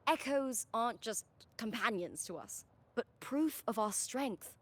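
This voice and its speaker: A woman's voice: fairly high pitch, expressive, unhurried, a bit bright. A woman voicing a confident, spirited young gladiator and friendly battle partner in a video game. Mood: confident, explaining.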